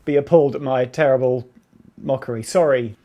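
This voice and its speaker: A man in his thirties, an animated and jokey co-host in casual podcast chat. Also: medium pitch, a little fast, muffled recording. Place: small home room.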